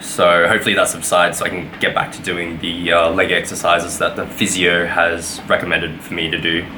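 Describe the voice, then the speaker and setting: A man's voice: sits low, monotone, normal speed, noisy background. A young man giving a casual weekly vlog update, rambling with lots of fillers and self-corrections. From Australia. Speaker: vlogger.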